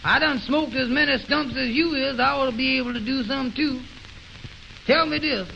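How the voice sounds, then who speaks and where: A man playing a naive, slow-witted, questioning fellow in a 1920s radio comedy, with puzzled, halting, folksy ungrammatical talk on an old recording. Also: very high-pitched, creaky, sharp tone, lo-fi sound, slight background noise, exaggerated voice. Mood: indignant.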